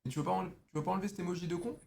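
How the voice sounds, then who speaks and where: Young male streamer, mocking slangy banter, quick and a bit high. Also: creaky, darkish tone. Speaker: streamer chatting with strangers on video chat. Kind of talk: casual teasing chat.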